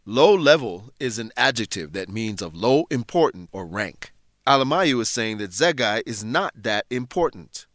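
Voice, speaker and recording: A man narrating a scripted English lesson in a deliberate, instructive way. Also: medium pitch, sing-song, fairly bright tone, dry recording, clean studio sound.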